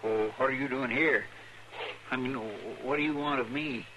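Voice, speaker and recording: An actor playing a simple, stubborn, worried frontier deputy with a folksy rural Western drawl in an old radio Western. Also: male voice, mid-range voice, normal speed, pushed and bright, natural voice. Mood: alarmed, stubborn. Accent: American rural Western drawl. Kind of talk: 1950s radio Western acting. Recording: noisy, crackly old radio recording.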